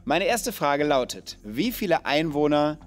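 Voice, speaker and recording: A man's voice: a little high, sing-song, unhurried. A man hosting a children's quiz show, talking cheerfully and directly to the contestants. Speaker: game show host.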